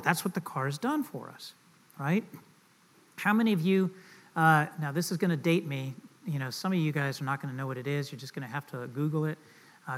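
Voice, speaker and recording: Middle-aged Southern male pastor, slightly higher voice, relaxed joking sermon style. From Southern United States. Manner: conversational and joking, asks the audience questions.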